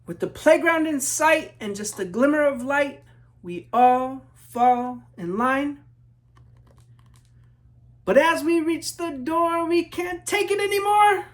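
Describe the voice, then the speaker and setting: A man from New Mexico reading his children's book aloud in measured rhythm, as a storyteller talking to young listeners. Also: extremely high pitch, expressive. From New Mexico, United States.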